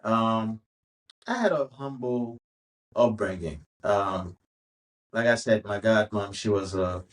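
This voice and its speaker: Haitian-accented man in his fifties. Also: on the low side. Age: 50s. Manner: relaxed, unhurried storytelling with many pauses. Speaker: guest. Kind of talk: casual interview storytelling.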